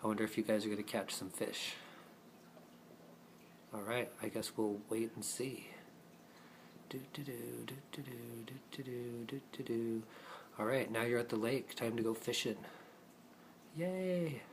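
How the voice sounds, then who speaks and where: Guy making up a puppet show story as he goes, laid-back and playful, medium pitch, bit of noise behind him. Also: fairly even intonation, measured pace, pauses often. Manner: casual, talks to the puppets.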